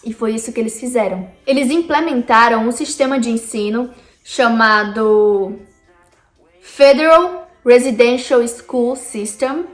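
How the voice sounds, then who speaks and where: Chatty Brazilian woman, echoey room. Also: sits high. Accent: Brazilian Portuguese. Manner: chatty, addresses viewers directly. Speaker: vlogger. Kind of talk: casual explainer vlog. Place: lives in Canada.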